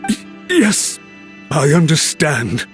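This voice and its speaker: An actor playing a cowed, deferential government servant in a video game, speaking hesitantly and politely. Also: male voice, fairly high pitch, big pitch swings, slow, soft background music. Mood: cowed, grateful. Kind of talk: scripted video game dialogue.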